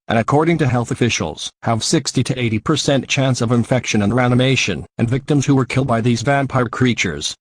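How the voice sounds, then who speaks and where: A man's voice: medium pitch, no room sound. A man reading a scripted emergency alert as an announcer.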